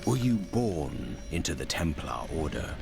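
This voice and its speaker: A stern warrior hero in a dark fantasy game. His voice is extremely deep and gravelly, formal and commanding, with loud music behind it. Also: very animated, a little slow, full character voice. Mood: stern questioning. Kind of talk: video game character dialogue.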